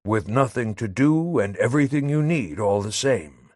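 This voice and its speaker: A man voicing a smooth young lover who soothes his sweetheart in a soft, reassuring way. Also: medium pitch, animated, normal speed, no room sound, clean studio sound, lightly acted. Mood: gentle.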